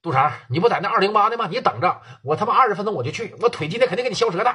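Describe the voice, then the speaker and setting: A furious young gang boss roars out his threats in a raised, strained voice, husky at the edges. He fires them off fast, cursing without pause, as a male storyteller puts him on. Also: sits high, very animated, full character voice. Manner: shouting, cursing, rapid threats.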